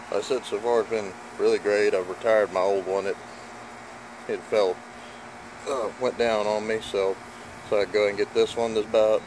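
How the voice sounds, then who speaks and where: A man giving a relaxed, rambling review of his gear outdoors, recorded on a handheld phone. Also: lowish voice, background noise. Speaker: product reviewer. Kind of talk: casual product review. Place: outdoors on a lawn beside a carport. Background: running engine and spraying water.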